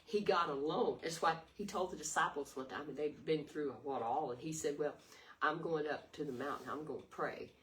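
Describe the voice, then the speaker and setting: An older woman with a raspy voice giving an earnest, rambling devotional talk full of restarts. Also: sits low, normal speed. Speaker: devotional video host. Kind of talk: informal devotional sermon. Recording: distant mic with faint noise.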